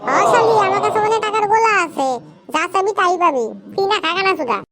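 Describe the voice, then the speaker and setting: A performer voicing a cheeky, foul-mouthed cartoon schoolboy who scolds his friends in a squeaky, brash, slangy way. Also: animated, quick pace, fairly bright tone, soft background music. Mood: cheeky bragging. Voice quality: squeaky, artificially high. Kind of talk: comedy cartoon dialogue.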